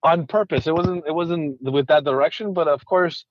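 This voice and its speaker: A man's voice: fairly high pitch, moderate pace, no echo, dull audio. A man from Ecuador, a conservationist guest, talking casually in an interview with many restarts and repeated words.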